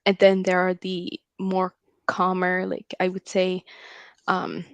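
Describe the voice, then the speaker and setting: A woman with an Arabic accent calling into a livestream, talking haltingly with many pauses and small laughs. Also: lowish voice, monotone, gravelly, clean tone, no room sound. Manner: hesitant, with frequent laughs and ums. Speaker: caller. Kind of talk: casual call-in chat.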